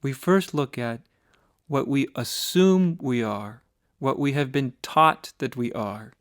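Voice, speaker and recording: An American man teaches meditation in a clear, smooth voice at a medium pitch. He speaks very slowly and deliberately, setting down short phrases with pauses between them. The recording is dry, with little room sound. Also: no echo. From United States. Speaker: spiritual teacher leading guided meditation. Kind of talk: guided meditation instruction.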